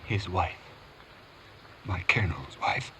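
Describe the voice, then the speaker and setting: Low-voiced, guilt-stricken witness. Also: male voice, a bit bright, exaggerated voice. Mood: anguished, ashamed. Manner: halting, anguished. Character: guilt-stricken, broken male valet confessing as witness. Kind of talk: 1940s-50s radio courtroom drama acting. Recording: faintly noisy vintage radio recording.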